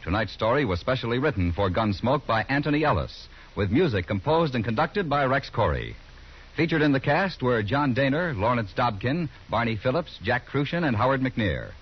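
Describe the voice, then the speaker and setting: Lively 1950s radio announcer man. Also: medium pitch, normal speed, husky. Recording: dull old radio broadcast.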